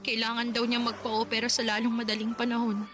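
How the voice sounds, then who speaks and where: A woman's voice: on the high side, completely flat delivery, fairly quick, close to an everyday voice. A woman playing a distraught, snappish young wife and mother in a Tagalog radio drama, blunt and exasperated. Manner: exasperated, blunt, sharp-tongued. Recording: music behind the voice.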